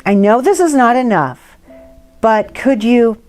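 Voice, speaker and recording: A woman's voice: fairly high pitch, lively intonation, a little slow, darkish tone, soft background music. Woman reading as an earnest, pleading little girl. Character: earnest little Indian girl, pleading and devoted to her mother. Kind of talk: storybook read-aloud.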